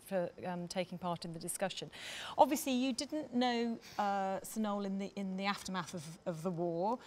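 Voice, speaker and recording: Woman, middle-aged, panel chair, medium pitch, conversational, hesitant phrasing, theatre stage. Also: a little mellow. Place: theatre stage, seated panel before an audience.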